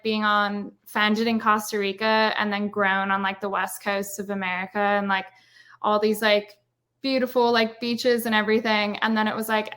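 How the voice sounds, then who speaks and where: A woman's voice: medium pitch, sharp tone. A woman with an Irish accent, a guest in a casual webinar interview, talking in a chatty way with many "like" and "um" fillers over a video call. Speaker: guest speaker, brand marketer.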